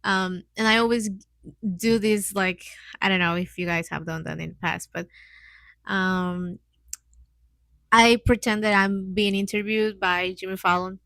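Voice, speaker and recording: Casting: woman, mid 20s, Mexican accent, native Spanish speaker. Informal podcast host, hesitant and giggly phrasing, medium pitch, dry sound. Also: fairly even intonation, a little slow, creaky, pauses often, a bit bright, dry recording. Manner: hesitant learner's English with frequent little giggles. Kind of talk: casual solo podcast talk.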